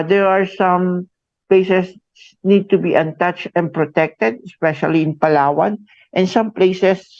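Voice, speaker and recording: A Filipino man with a gravelly, fairly high voice, hosting a radio talk show. He speaks slowly and haltingly, tags "no?" onto his phrases, and sounds dull and lo-fi over a dry broadcast line. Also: no room sound. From Philippines. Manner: halting, many fillers, tag "no?" after phrases. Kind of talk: radio interview.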